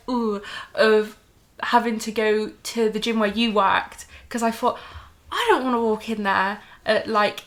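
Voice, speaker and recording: Bright-voiced young woman chatting. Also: fairly high pitch. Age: 20s. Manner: chatty, lots of "like" fillers. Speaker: guest, host's sister. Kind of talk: casual chat.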